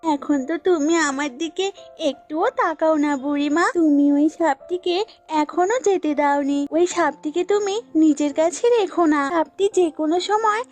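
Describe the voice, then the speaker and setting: A sulky, jealous goat from a children's cartoon, in a high voice. It scolds and grumbles like a neglected pet, with music playing behind. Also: female voice, moderate pace, darkish tone. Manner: scolding, complaining. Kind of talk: cartoon voice acting in a children's fable.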